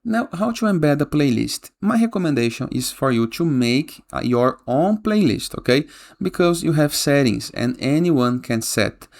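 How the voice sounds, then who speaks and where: A man hosting a casual software tutorial voice-over, giving step-by-step instructions and often checking in with a short questioning tag. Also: on the high side, big pitch swings, moderate pace, gravelly. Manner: frequently tags instructions with a questioning "okay?". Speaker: tutorial host. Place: voice-over for a screen recording.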